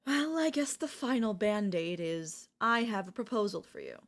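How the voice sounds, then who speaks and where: A woman voice actor playing an obsessive older female professor in an ASMR audio roleplay, coaxing and deliberate, with dramatic pauses. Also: mid-range voice, expressive, close to an everyday voice. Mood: coaxing, earnest.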